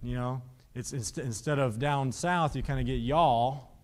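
A man's voice: mid-range voice, unhurried. A man in his thirties preaching a Bible teaching on a studio stage, reading verses aloud and asking the listeners rhetorical questions. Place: studio stage with painted backdrops.

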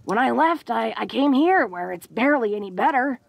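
A woman's voice: fairly high pitch, lively intonation, normal speed, vocal fry, dry recording. A woman playing a tough, protective, secretly awkward young orc woman in an intimate audio roleplay, with rustic slangy speech and a bashful stammer. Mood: awkward, earnest.

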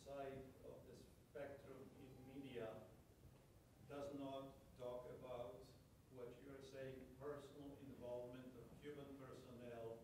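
A man's voice: medium pitch, flat delivery, a little slow. A man in the audience asking pointed, challenging questions. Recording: distant, roomy, noisy audience mic.

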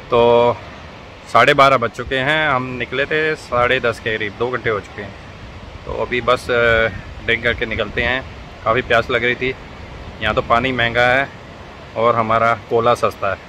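A man's voice: medium pitch, sharp tone. A man in his forties chatting casually as a travel vlogger, guiding viewers around while holding a phone at arm's length outdoors. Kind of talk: casual travel vlog narration. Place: outdoors on beaches and hilltops, also a restaurant. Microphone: phone held at arm's length. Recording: noisy outdoor phone recording.

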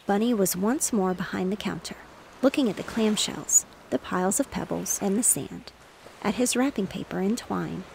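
A woman's voice: mid-range voice, gravelly, a little hiss or hum. Whispering woman reading a bedtime story. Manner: soft whispered, slow and soothing. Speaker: audiobook narrator.

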